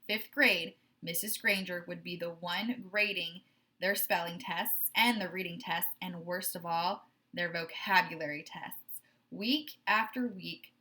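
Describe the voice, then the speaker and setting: Female teacher, read-aloud narration for children. Bright, crisp-edged tone, medium pitch, emphatic and measured delivery.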